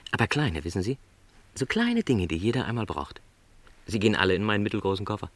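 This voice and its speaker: Low-voiced, modest middle-aged travelling salesman. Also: sing-song, quick pace. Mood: modestly content. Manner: modest, reflective, polite. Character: lonely, contented, no longer young travelling salesman. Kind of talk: radio play dialogue.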